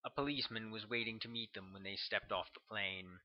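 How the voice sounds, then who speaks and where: A man's voice: fairly low pitch, a little fast, muffled recording. A man reading his own thriller novel aloud as scripted audiobook narration.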